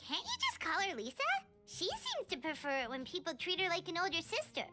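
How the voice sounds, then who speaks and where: Squeaky little fairy girl. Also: wildly swooping pitch, brisk, sharp tone, soft background music, exaggerated voice. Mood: eager, amazed. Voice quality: squeaky, childlike. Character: tiny, excitable, childlike female fairy companion. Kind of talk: video game voice acting.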